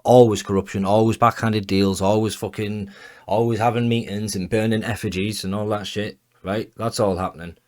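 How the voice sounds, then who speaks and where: A man's voice: fairly low pitch, fairly even intonation, moderate pace. A man with an English accent delivering a blunt, argumentative commentary rant, swearing freely. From England. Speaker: commentary channel host. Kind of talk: ranting commentary.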